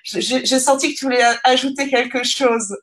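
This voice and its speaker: A woman's voice: high voice, steady tone, brisk, a bit bright. A woman hosting a radio show, closing it with thanks and many fillers. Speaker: show host. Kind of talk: casual radio interview.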